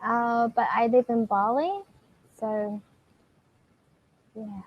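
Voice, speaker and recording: Woman, English tutor; fairly high voice, encouraging, dull lo-fi video-call sound. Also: takes it slowly. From Australia. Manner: encouraging, asks simple questions. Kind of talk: online language lesson conversation. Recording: muffled video call with faint noise.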